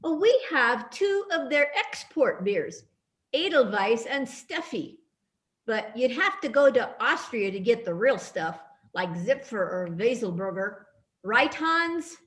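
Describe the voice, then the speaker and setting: Woman playing a high-voiced, weary bartender. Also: very animated, slow, a bit bright, exaggerated voice. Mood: wry, welcoming. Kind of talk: amateur readers' theatre radio play.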